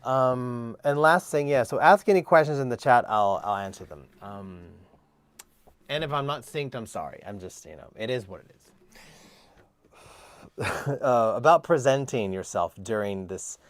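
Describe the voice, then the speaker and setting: A man with a baritone voice hosting a casual livestream lesson, chatting while he demonstrates microphones and moves closer to and away from them. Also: animated, unhurried, clear, resonant tone. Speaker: cello teacher hosting a live stream. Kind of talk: casual livestream demo talk. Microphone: switches between several desk microphones.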